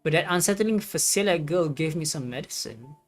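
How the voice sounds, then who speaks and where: A woman's voice: deep voice, animated, fairly quick. Woman streamer musing over game music. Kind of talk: livestream commentary, reading game text aloud.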